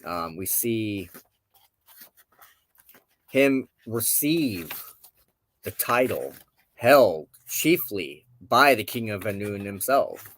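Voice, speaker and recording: Low, slow-spoken man, thinking aloud. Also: lively intonation, fairly bright tone, poor audio. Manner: halting, searching for words, contemplative. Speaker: host of a spiritual show. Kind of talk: informal reflective talk to camera.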